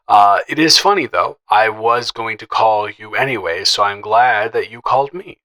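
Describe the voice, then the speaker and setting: Guy playing a teasing best friend type, bright voice, kinda shy n stammery, flirty audio roleplay, chatty. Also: mid-range voice, close to an everyday voice. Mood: playful, teasing. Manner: playful, teasing, halting with stammers and chuckles. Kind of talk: improvised, conversational romantic audio roleplay.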